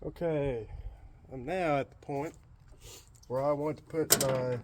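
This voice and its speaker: Casual man vlogging over clear background noise. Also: mid-range voice, steady tone, takes it slowly, a little mellow. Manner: unscripted, thinking aloud. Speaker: DIY vlogger. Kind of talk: casual hands-on walkthrough. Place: in and around a pickup truck.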